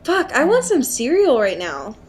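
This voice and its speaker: A woman's voice: sits high, huge pitch swings, moderate pace, a little mellow, a little hiss or hum. A young American woman co-hosting a casual podcast, chatting in slangy, exclamatory, sweary language. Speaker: podcast co-host. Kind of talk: casual chat, recapping a book.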